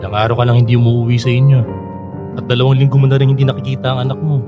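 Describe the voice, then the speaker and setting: A man's voice: medium pitch, completely flat delivery, very fast, narrow, tinny audio, close to an everyday voice. A man playing a frank, caring friend who gives firm advice in a Filipino radio drama, with a Filipino accent. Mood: urging, firm. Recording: guitar music behind the voice.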